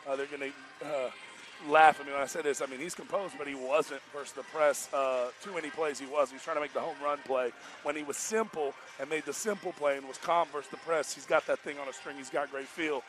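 A man's voice: fairly high pitch, fairly even intonation, brisk. A man with an American accent, a coach talking casually and emphatically in a post-game interview, repeating his points in colloquial speech. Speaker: basketball coach. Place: indoor basketball arena, courtside. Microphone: headset.

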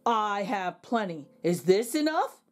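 A woman's voice: mid-range voice, sing-song, slow pace, faint music behind. A woman voicing a greedy, grumpy horned goblin in a children's storybook, impatient and grumbling. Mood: interested, greedy.

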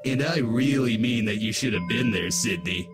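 A man's voice: medium pitch, moderate pace, lightly acted. A man voicing a manic, mischievous wisecracking trickster in a comic book dub, hammy and taunting. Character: manic green-faced male trickster, wisecracking, gleefully mischievous. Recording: loud music behind the voice.

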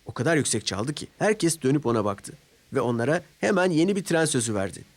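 A man's voice: medium pitch, animated, fast talker. A man narrating a scripted children's story as a storyteller.

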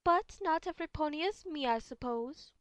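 A woman's voice: high voice, animated, moderate pace, a bit bright, no room sound. A woman voicing a refined, dramatic, doting female unicorn in an amateur audio drama. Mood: affectionate, haughty. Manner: genteel, dramatic.

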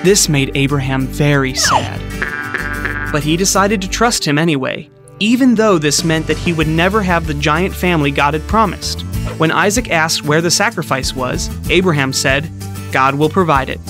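A man giving a scripted, upbeat storytelling narration for an animated children's Bible video. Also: a little high, moderate pace. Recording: studio voice-over with music underneath.

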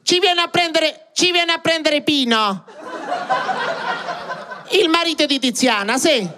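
A man voicing an elderly woman who shouts slowly down the phone on a train. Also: background noise. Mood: loud, fussy. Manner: shrill, shouting, slow and drawn out. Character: elderly southern woman phoning loudly on a train.

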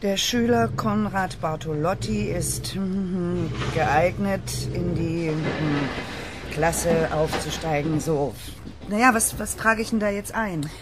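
A woman playing a blunt, colourful, plain-spoken mother in a radio play, with an expressive, exasperated manner. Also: fairly low pitch, slow, noisy background. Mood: puzzled, wry.

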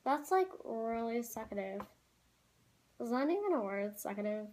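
A teenage girl vlogging from a room at home, rambling in a casual, chatty way with lots of "like"s and quick apologies. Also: a little high, big pitch swings, darkish tone, slight background noise. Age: teens. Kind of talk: casual chat to camera. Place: small room at home, indoors.

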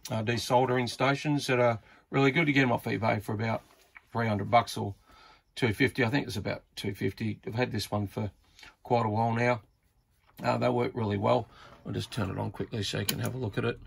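A man's voice: mid-range voice, steady tone, brisk, gravelly, a little hiss or hum. A man giving casual, hands-on repair commentary in a plainspoken, hesitant way, as if thinking aloud while he works. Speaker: radio repair hobbyist presenter. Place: indoors at an electronics workbench.

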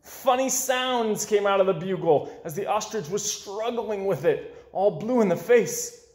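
A young man narrates a children's storybook aloud. His voice sits a little high and swings widely for dramatic emphasis, with roomy indoor echo around it. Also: animated, unhurried, a little mellow. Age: 20s. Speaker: storybook reader. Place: small indoor room with bookshelves.

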